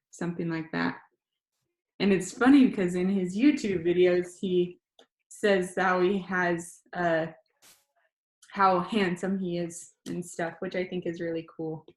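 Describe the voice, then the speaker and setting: Woman reading a novel aloud in an echoey room. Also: medium pitch. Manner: gentle, chatty asides. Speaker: reader of a children's novel. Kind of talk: reading a novel aloud with chat to a young listener. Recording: roomy echo.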